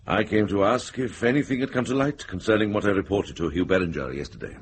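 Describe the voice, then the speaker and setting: A man acts an older monk and healer with a low-pitched voice. He speaks in a measured, earnest way with dry authority, as in a radio mystery. A slight noise sits behind him. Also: close to an everyday voice. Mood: firm, earnest. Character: calm, wise, plain-spoken older monk and herbalist. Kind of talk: radio drama acting.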